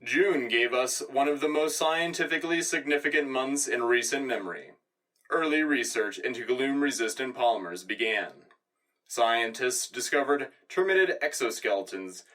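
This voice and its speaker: A man's voice: medium pitch, sharp tone, far from the mic, rough recording. A man playing an earnest radio news anchor of a future Earth, reading scripted satirical war news in a polished, official manner. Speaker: radio co-host.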